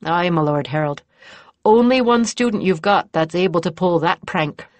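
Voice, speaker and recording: A woman's voice: on the low side, moderate pace, dry recording, close to an everyday voice. A woman voicing a plodding, dutiful middle-aged male provost marshal with a rustic, deferential, plain-spoken manner.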